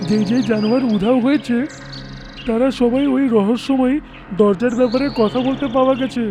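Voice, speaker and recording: A man's voice: very high-pitched, steady tone, fairly quick, music in the background. A man voicing an earnest, dramatic talking forest animal in a cartoon fairy tale. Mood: worried. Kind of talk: cartoon voice acting.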